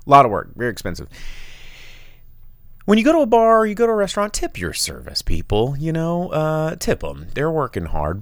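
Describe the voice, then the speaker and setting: A man hosting a casual chat show, rambling and animated, with sudden loud bursts in a big dad voice. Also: low voice, dry recording. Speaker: podcast host and bar owner.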